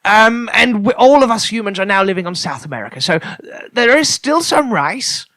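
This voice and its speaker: Man playing polite, rambling future correspondent, animated pitch. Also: dry recording. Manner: hesitant, apologetic, halting with repeated words. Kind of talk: improvised comedy character interview.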